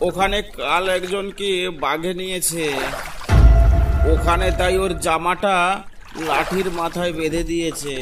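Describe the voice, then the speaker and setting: A man voicing a frightened, weary middle-aged fisherman father in a cartoon, fretful and pleading. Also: fairly high pitch. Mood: grave warning. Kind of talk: Bengali cartoon voice acting. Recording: clear background music under voice.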